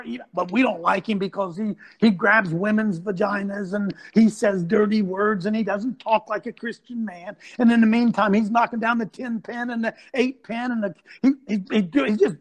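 Guy in his sixties hosting a church men's talk, preachy, gets loud and excited. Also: high-pitched. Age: 60s. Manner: animated, preachy, loud exclamations. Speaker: host.

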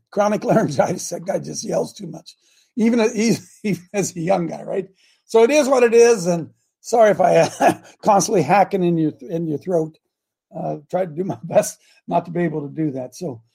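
An older man with a gravelly, hoarse voice hosting a casual live show, rambling and often clearing his throat. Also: sits high, dark tone. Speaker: show host.

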